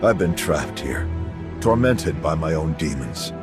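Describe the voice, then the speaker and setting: An actor playing a guilt-ridden, tormented prisoner, a fallen leader speaking in grave, sorrowful confession in a dramatic video game. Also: male voice, extremely low pitch, lively intonation, a little slow. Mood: anguished, ashamed. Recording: game music underneath.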